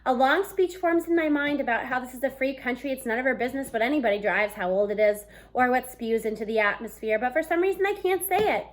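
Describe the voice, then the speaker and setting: A woman in her thirties reading a novel aloud in an expressive, storytelling style, acting out the dialogue. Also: high-pitched, fast talker, few pauses. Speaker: read-aloud book reader. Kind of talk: expressive children's audiobook-style read-aloud. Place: small room at home, indoors.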